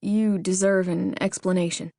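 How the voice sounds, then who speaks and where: A woman narrator puts on a tall, shaken ex-soldier cowboy from the American South, his drawl slow and halting. The voice is gravelly with fry, recorded close and dry with no room sound. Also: lowish voice, fairly even intonation, mellow, dry recording. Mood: somber. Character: tall dazed male ex-army cowboy, stammering. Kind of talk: audiobook character voice.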